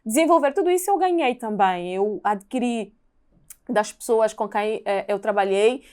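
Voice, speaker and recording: A young woman with an Angolan Portuguese accent, a podcast guest explaining at length in casual conversation, in earnest, sometimes in quick bursts. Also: medium pitch, lively intonation, a little mellow, clean tone. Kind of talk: casual chat.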